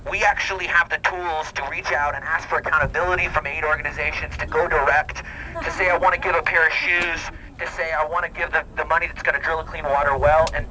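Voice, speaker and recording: A man's voice: fairly high pitch, sharp tone, poor audio. Earnest man speaking through a megaphone outdoors. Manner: earnest, halting, many ums and restarts. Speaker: band member addressing a charity walk crowd. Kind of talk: outdoor motivational speech. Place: outdoors at a university campus crowd. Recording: shaky handheld camera, faint background noise.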